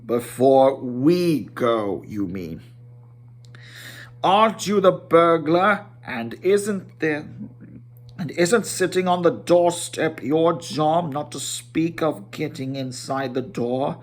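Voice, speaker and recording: A man voicing a proud, grumbling dwarf leader in a storybook reading, gruff and demanding, drawing out his words. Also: fairly high pitch, expressive, slow.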